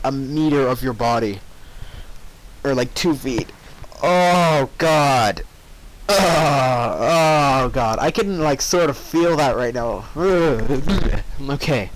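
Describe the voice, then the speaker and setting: A man's voice: fairly high pitch, slight background noise, low-quality recording. A man reading fan fiction aloud informally, expressively breaking off for squeamish asides. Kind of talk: informal story narration with reactions.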